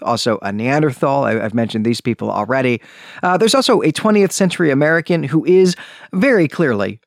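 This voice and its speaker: A man's voice: medium pitch, no room sound, clean studio sound. A man hosting a book discussion podcast in a chatty, enthusiastic way, with informal asides. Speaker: podcast host.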